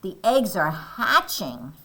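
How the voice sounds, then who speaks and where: Lady doing a calm helpful piggy from a kids story, slow and matter of fact, bright voice. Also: mid-range voice, a little hiss or hum, heavily acted. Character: calm, helpful pig friend from a picture book.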